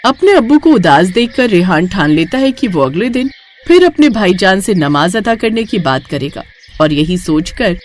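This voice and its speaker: A woman's voice: mid-range voice, big pitch swings, moderate pace. A woman narrator reading a scripted moral story for children.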